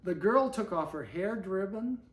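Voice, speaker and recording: An older man in about his seventies reads a storybook aloud to a small child, pausing for gentle asides and questions. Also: sits high, expressive, reverberant. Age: older, about 70s. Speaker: story reader. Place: living room armchair, indoors. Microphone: camera a few feet away.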